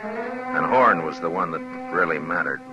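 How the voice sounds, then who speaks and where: A man's voice: sits low, expressive, a little slow, muffled recording, music in the background. An actor playing a terse, dry, authoritative frontier lawman in a 1950s western radio drama. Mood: reflective narration. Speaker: lead actor and narrator. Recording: old 1950s radio recording, background murmur.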